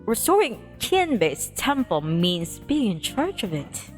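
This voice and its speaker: A woman's voice: mid-range voice, big pitch swings, slow. A woman livestreamer reading a visual novel aloud expressively, with playful casual asides. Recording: music behind the voice. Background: music.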